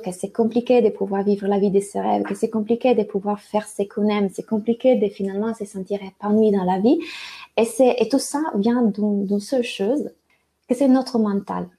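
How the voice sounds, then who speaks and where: A woman's voice: mid-range voice, warm tone. A woman from Italy with an Italian accent, presenting a live webinar as a life and business coach, hesitating with frequent fillers and restarts.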